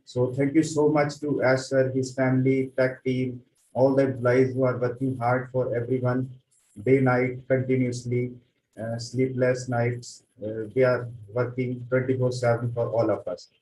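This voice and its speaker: Guy on a webinar call thanking everyone, flat pitch, echoey and far from the mic. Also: a little slow. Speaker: community member giving testimonial. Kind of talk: earnest thank-you speech on a group webinar. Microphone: online video call. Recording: roomy, distant mic, faint background noise.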